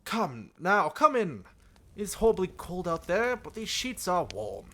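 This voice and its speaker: A man's voice: high-pitched, wildly swooping pitch, measured pace, pushed and bright, pitched above the performer's own voice, exaggerated voice. A man voicing a sweet, playful young princess in a visual novel, coy and tender. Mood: coy, inviting.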